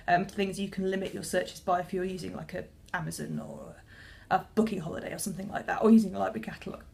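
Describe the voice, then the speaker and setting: A woman's voice: medium pitch, vocal fry. A young woman, a librarian talking casually to camera in a chatty, self-deprecating way with frequent restarts.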